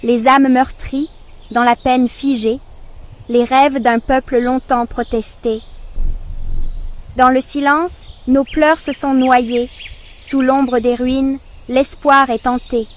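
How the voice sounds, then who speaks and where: Woman, high voice, slow declamatory poem recitation, faint outdoor ambience behind. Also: steady tone, a little hiss or hum. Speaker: poetry narrator. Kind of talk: solemn scripted poem recitation.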